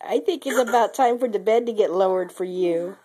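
A young mother, sick and speaking lower than usual, talking to her baby in a playful, sing-song way while filming in the nursery on a handheld camera. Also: fairly quick, warm tone, slightly rough. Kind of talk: home video baby talk. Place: baby's nursery, indoors.

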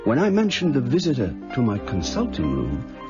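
An actor playing a sober English gentleman doctor narrating his memoir in a radio drama, in formal, literary phrases. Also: mid-range voice, wildly swooping pitch, moderate pace. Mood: reflective, wry. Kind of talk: dramatised first-person scripted narration. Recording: dull radio recording with music underneath.

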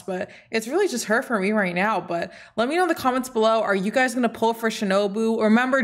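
A young adult woman giving a casual, animated and slangy reaction chat as a game streamer. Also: on the high side. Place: small indoor streaming room.

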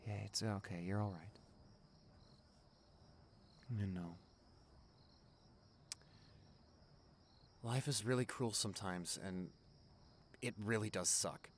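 A man's voice: deep voice, slight background noise, natural voice. A young man playing a caring, chatty college best friend in an ASMR roleplay, talking casually and directly to the listener. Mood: tender, soothing.

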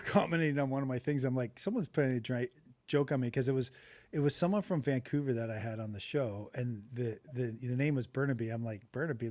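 A man's voice: medium pitch, darkish tone, dry recording. A middle-aged man hosting a podcast over a video call, chatty and upbeat with frequent laughs, speaking into a headset mic from a small home room. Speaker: podcast host. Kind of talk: casual video-call chat.

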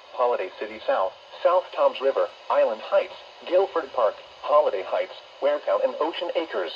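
A synthetic American male voice of middling pitch reads emergency weather alerts in a measured, mechanical way. The sound is dull and muffled, coming out of a small radio speaker. Clear noise sits behind the voice. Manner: flat, measured synthetic reading. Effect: synthetic text-to-speech voice. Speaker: automated weather radio announcer. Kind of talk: emergency alert announcement, scripted. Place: weather radio playback filmed up close indoors.

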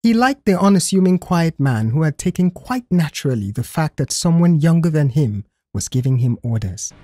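Expressive male narrator, lively pitch, fairly high. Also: moderate pace, no room sound. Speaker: audiobook narrator. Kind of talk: scripted story narration.

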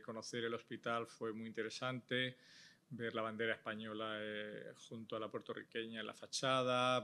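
A middle-aged man tells his story at an indoor panel talk. His voice is bright and forward, and he speaks into a table microphone. He talks in a measured, reflective way and fills his pauses with a soft "eh". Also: mid-range voice, vocal fry. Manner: measured, thoughtful, with frequent "eh" pauses. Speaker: transplant surgeon on a panel. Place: indoor panel stage before a logo backdrop. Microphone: table microphone on a stand.

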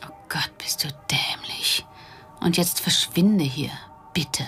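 An actress playing a hurt, bitter wife in a German radio drama, scornful and reproachful. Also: female voice, sits low, takes it slowly, a bit bright, in a whisper, exaggerated voice. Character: hurt, bitter wife confronting her cheating husband. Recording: studio radio play with music behind.

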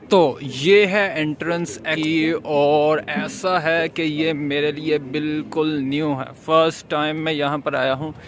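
A man's voice: fairly high pitch, steady tone, slow, few pauses. A man, talking casually to camera as a vlog host and explaining things with English words mixed in. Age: 20s. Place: open public area at night. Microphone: phone at arm's length. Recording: noisy phone recording.

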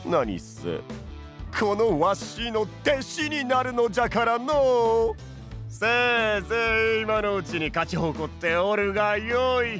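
Give this one to a theatrical, boastful lord. His highish voice takes its time and swings widely, with proud, archaic phrasing, as in an anime-style audio drama. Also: animated, slow, fairly bright tone, soft background music, full character voice. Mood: defiant, proud. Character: flamboyant, proud old-fashioned tea-master lord. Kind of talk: Japanese voice drama acting.

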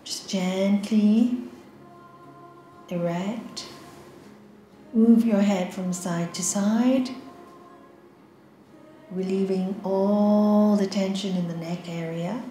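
A woman leading a guided meditation, giving slow, soft, calm instructions with long pauses. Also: mid-range voice, roomy sound, faint music behind.

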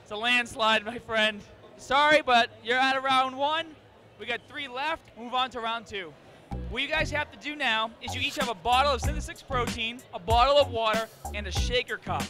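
A young man hosts a contest with an upbeat, loud delivery, his voice high for a man. He holds a handheld mic in a crowded convention hall. Loud music plays behind him throughout. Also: normal speed. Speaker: host. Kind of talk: energetic event hosting.

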